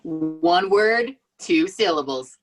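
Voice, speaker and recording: Woman reading a playful young host, very lively pitch. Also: slow, soft background music, heavily acted. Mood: excited. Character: playful, excitable young man hosting a housewarming. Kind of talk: amateur table read.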